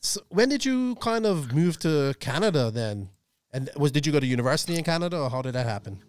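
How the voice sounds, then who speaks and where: A man's voice: fairly high pitch, big pitch swings, quick pace, clean tone, dry recording. Rambling male podcast host, dry mic. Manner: loose, rambling, friendly. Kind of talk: casual chat.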